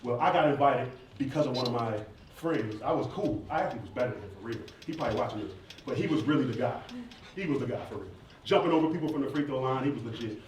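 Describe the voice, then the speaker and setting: A man answers audience questions as a performer, telling stories in a casual, joking, informal way. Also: medium pitch. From southern United States. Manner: joking, informal, animated storyteller. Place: echoey indoor event space with audience. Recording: echoey room, distant mic, background noise.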